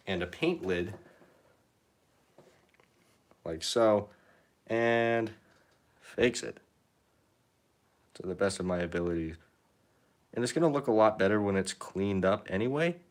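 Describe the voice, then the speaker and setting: A young man chatting casually while painting on a live stream, rambling and self-deprecating, his pitch rising at the ends of phrases. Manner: rambling, self-deprecating, reads chat comments aloud. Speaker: painting livestreamer.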